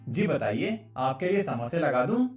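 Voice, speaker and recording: A man's voice: a little high, sing-song, moderate pace. A man playing a greedy, scheming village shopkeeper in a cartoon moral story. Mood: obliging. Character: greedy, scheming village samosa seller, husband. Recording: roomy, distant, faint music underneath.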